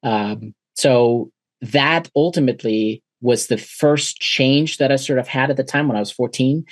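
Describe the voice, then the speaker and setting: A man's voice: mid-range voice, a little slow, no echo. A German-born man in his forties, a native German speaker, reflecting on his past as a podcast guest, with frequent fillers and restarts. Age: 40s. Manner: thoughtful, many uh fillers and restarts. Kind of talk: reflective interview conversation.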